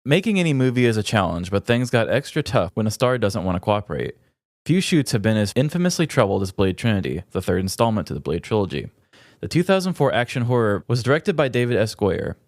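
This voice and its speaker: A man's voice: fairly low pitch, big pitch swings, quick pace, no echo, clean studio sound. A man co-hosting a comedy podcast in a conversational, jokey way. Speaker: podcast co-host. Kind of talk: casual comedic chat, sometimes reading aloud.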